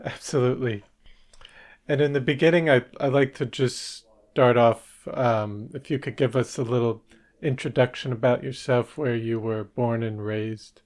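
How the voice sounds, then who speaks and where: A man's voice: medium pitch, steady tone, a little mellow. Man hosting a podcast. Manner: smooth and measured when reading, brief murmured backchannels. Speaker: podcast host. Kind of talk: scripted intro, then casual interview.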